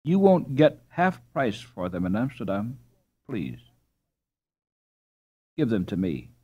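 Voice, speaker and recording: A man's voice: fairly low pitch, sing-song, slow, warm tone, husky, highs cut off, heavily acted. A man voicing a kind, gaily teasing young Dutch girl who pleads sweetly in a storybook. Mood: earnest, pleading. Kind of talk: storybook character reading.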